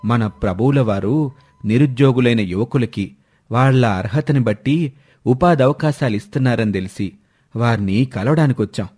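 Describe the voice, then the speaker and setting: A man's voice: medium pitch, normal speed, darkish tone, slightly rough, close to an everyday voice. Man playing a humble, pleading young forest villager. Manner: respectful, pleading. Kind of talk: cartoon moral-story voice acting.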